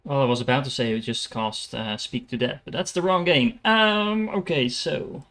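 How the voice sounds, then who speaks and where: Male game streamer, mid-pitched voice, casual off-the-cuff commentary, thinking aloud, dryly joking. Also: normal speed, fairly bright tone. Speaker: livestreamer playing a game.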